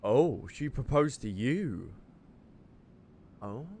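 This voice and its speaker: A man's voice: medium pitch, very slow, a little hiss or hum. A man giving playful, teasing let's-play commentary as a gaming streamer. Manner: animated, teasing, breaks into giggles. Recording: faint game music behind.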